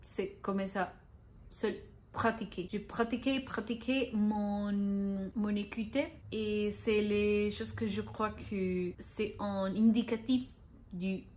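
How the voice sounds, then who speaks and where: A woman with a Spanish accent talks unscripted in a learner's vlog. Her delivery is slow and halting, with frequent pauses while she searches for words and restarts her sentences. She laughs lightly at her own mistakes along the way. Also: mid-range voice, a little mellow. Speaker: language-learning vlogger.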